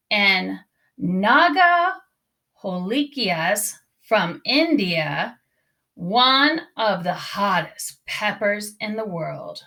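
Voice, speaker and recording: A woman reading a children's storybook aloud in an expressive, emphatic way. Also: medium pitch. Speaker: storybook reader. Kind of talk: children's read-aloud narration.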